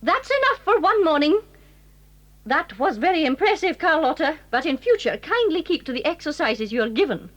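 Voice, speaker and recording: An actress with a British accent playing a bossy, exasperated games mistress who scolds and commands in an audio drama. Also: female voice, sits high, big pitch swings, moderate pace, a bit bright. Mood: firm, flustered. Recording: slightly dull lo-fi recording.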